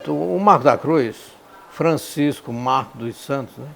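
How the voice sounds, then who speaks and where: Creaky-voiced man, emphatic. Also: mid-range voice, unhurried, a little hiss or hum. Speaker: interviewee. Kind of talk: spontaneous documentary testimony.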